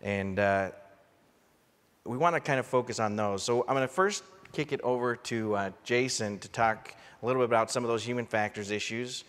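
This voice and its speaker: A man moderating a conference panel, laying out the order of speakers in a relaxed, low-key way. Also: moderate pace. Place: large conference hall. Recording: long hall reverb.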